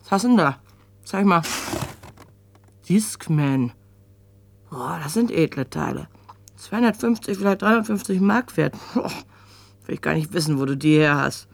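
An actress playing a blunt, nosy shopkeeper with a colloquial, muttering way of talking in a radio drama. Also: female voice, low-pitched, lively intonation, normal speed, vocal fry, pauses often, full character voice. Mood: grudging, businesslike. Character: brusque, nosy, heavy-set female kiosk keeper. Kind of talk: German radio drama acting.

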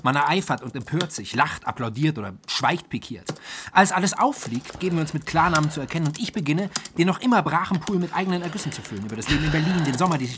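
An actor playing a restless young writer who tells of his own mania in an intense, expressive literary radio play monologue. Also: fairly high pitch, fast talker, background noise, lightly acted. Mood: agitated, eager. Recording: studio voice with music behind. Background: music.

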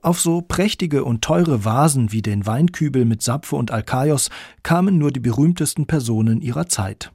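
A man's voice: medium pitch, animated, normal speed, a little mellow, slightly rough, no room sound, studio quality. A man reading scripted narration as the narrator of a radio documentary.

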